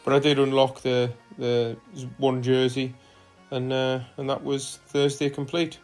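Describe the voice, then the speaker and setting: Flat-voiced man narrating his rides. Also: mid-range voice, unhurried, soft background music. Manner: relaxed, hesitant with frequent fillers. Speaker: cycling vlogger. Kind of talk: casual voice-over narration of ride footage.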